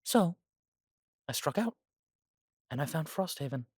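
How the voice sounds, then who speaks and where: A man playing a humble, self-doubting immortal elf who runs a coffee shop in a fantasy audio drama, talking in a gentle, chatty way. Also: on the high side, big pitch swings, unhurried, husky, no echo, clean studio sound, exaggerated voice. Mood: warm, friendly.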